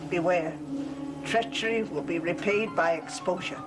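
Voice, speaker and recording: An actress as a proud, low-voiced occult woman. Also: measured pace, over music, lightly acted. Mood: menacing. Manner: formal, portentous. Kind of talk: gothic television soap opera acting.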